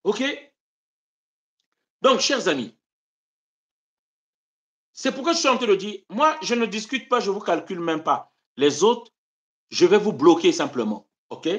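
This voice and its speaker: A man from Congo-Brazzaville hosting a live stream of political commentary. He declaims with passion, then drops into low muttered asides to his viewers. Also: lively intonation, a little slow, long pauses. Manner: impassioned, rhetorical, swings between loud declaiming and low muttered asides. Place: home in Europe.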